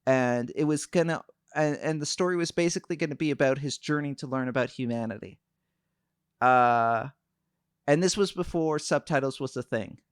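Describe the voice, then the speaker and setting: A young man chatting on a livestream, calm and soft-spoken, hesitating often with fillers. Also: medium pitch, moderate pace, clean tone, dry recording, studio quality. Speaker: VTuber streamer. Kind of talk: casual livestream chat.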